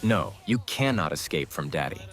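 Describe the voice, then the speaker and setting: A man's voice: extremely low pitch, big pitch swings, moderate pace, fairly bright tone, faint music behind. A man giving scripted, instructive game guide commentary with a cocky, playful streak. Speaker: gaming guide creator, commentator.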